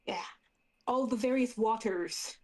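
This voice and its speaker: Playful higher-voiced woman gamer. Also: measured pace, a little mellow. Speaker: player in a tabletop role-playing game. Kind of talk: casual improvised game chat.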